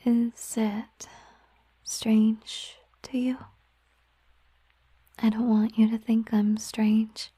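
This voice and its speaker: A woman plays a gentle siren girlfriend in an intimate ASMR roleplay. Her voice is a breathy, mellow whisper, slow and softly musing. It is recorded close and dry. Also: on the high side, little pitch movement, no echo, exaggerated voice. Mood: gentle, explaining. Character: gentle, affectionate female siren, a mermaid girlfriend. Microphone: close microphone, dry sound.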